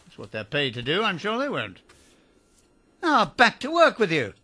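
A man's voice: high-pitched, wildly swooping pitch, normal speed, bright, forceful tone, no room sound, heavily acted. An actor playing a cold, miserly old businessman in a radio drama, curt and imperious. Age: older.

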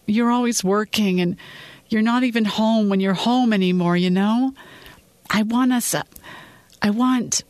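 A narrator voicing a worried, frustrated husband who talks bluntly. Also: male voice, a little high, normal speed, fairly bright tone, no room sound, close to an everyday voice. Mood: softened. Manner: anxious, then stern and blunt.

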